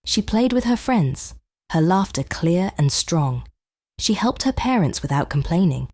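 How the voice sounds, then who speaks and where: A woman narrating a children's bedtime story in a gentle, measured, expressive read-aloud style. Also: on the low side, no echo, studio quality.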